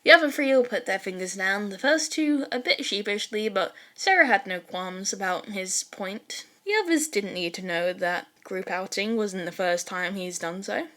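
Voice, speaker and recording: A woman narrating fan fiction as an expressive storytelling reader. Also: mid-range voice, moderate pace, hardly any pauses.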